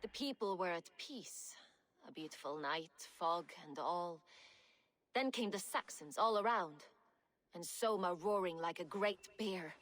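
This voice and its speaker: A woman's voice: on the low side, animated, unhurried, a bit bright. An actress playing a wry Viking woman and former smuggler who speaks dryly, with a wistful edge. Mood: recounting. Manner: dry, sardonic, wistful. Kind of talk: game dialogue.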